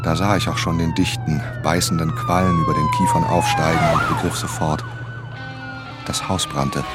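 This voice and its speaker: An actor in a radio drama playing an earnest writer, narrating his own story in a sober, measured way. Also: deep voice, wildly swooping pitch, over loud music. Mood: sober, tense. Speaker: first-person narrator.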